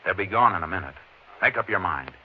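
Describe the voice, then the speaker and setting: An actor playing a tough, cool-headed Texas Ranger in a 1950s radio western, terse and commanding. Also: male voice, deep voice, lively intonation, fast talker. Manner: terse, commanding, hard-boiled. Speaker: actor in the lead role. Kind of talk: 1950s radio western acting with first-person narration. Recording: dull, noisy old radio recording.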